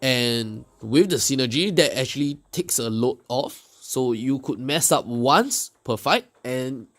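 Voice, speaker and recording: A man giving casual, unscripted game commentary as a video host, explaining things in a hesitant way with pauses mid-sentence. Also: medium pitch, expressive. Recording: loud music behind the voice. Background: loud music under the commentary.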